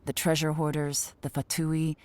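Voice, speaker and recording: A woman playing a cool, shrewd investigator in a video game, speaking in a composed, measured and confident way. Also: low voice, monotone, darkish tone, clean tone, natural voice. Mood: analytical.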